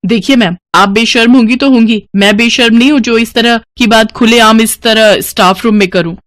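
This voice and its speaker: A woman's voice: a little high, fairly even intonation, normal speed, fairly bright tone, dry recording, studio quality, natural voice. A woman voicing a prudish female teacher in a story, curt and disapproving. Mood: scandalised.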